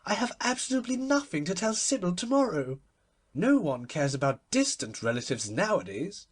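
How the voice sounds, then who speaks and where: A man's voice: sits high, big pitch swings, moderate pace, husky, full character voice. A man voicing an imperious, chattering society hostess in an audiobook, emphatic and airily opinionated. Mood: airy, disappointed. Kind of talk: literary audiobook character voice.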